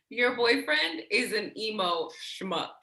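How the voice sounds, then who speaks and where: A woman's voice: a little high, bright, forceful tone, full character voice. A woman acting a blunt, teasing, protective young woman in a staged play reading, with casual, sweary, sardonic delivery. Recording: roomy, echoey sound.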